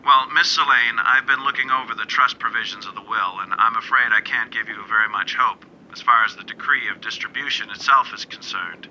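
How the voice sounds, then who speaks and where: An actor playing a shrewd, unflappable American defense lawyer in a radio drama, with a clipped, questioning manner. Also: male voice, medium pitch, brisk, few pauses, bright, forceful tone, lo-fi sound, slight background noise. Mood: measured, probing. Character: shrewd, cool male criminal defense lawyer.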